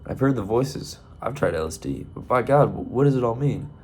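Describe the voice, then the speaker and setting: A man's voice: medium pitch, expressive, brisk, a little hiss or hum, voice pushed up, lightly acted. A man doing a blustering rooster seeker. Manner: bold, exclaims "by God". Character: blustering male rooster on a spiritual quest.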